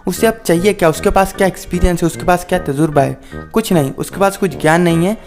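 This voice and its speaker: Higher-pitched young Indian man over music. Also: fast, darkish tone. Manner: mock-earnest, emphatic, switches between Hindi and English. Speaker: video host. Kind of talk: sarcastic scripted comedy monologue to camera.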